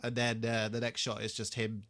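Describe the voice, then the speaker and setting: A man's voice: on the low side, completely flat delivery, moderate pace, fairly bright tone, no room sound. A man co-hosting a podcast, casually recapping a TV episode and piecing his thoughts together with asides as he goes. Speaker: podcast co-host.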